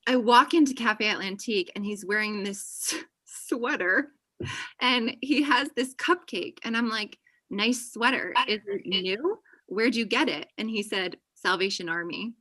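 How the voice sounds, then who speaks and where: A woman playing a chatty, high-energy friend in a comedy table read on a video call, with an animated, gushing manner. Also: unhurried. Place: small indoor room on a video call. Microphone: computer mic on video call.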